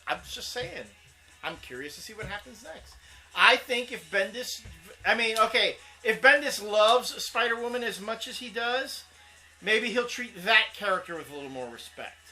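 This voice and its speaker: A man's voice: high-pitched, lively intonation, normal speed, pushed and bright, faint music behind. A man co-hosting a casual comic book talk show, rambling and restarting as he defends his opinion. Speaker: co-host.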